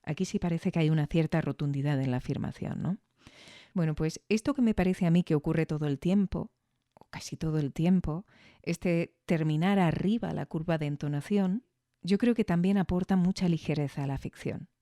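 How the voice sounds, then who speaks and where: A podcast reviewer talks through an analysis in a soft-edged, mellow voice. The delivery is reflective and didactic, often turning to the listener with questions. The sound is very dry. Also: mid-range voice, normal speed, dry recording.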